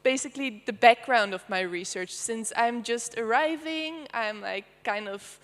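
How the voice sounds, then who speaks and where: A woman's voice: on the high side, measured pace, fairly bright tone. A woman from the Netherlands with a Dutch accent, presenting her artistic research at a panel in a loose, chatty way with many fillers. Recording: reverberant lecture hall.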